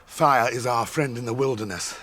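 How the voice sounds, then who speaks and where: An actor playing a grave, watchful ranger guide in a radio drama, speaking in measured British English like a storyteller. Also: male voice, mid-range voice, fairly bright tone, natural voice. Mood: firm.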